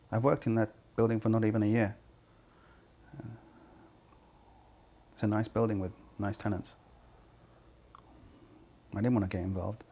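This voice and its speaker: An actor playing an uneasy, plain-spoken building cleaner, a man giving halting, understated testimony in a crime drama. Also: fairly low pitch, a little mellow. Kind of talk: acted police interview testimony.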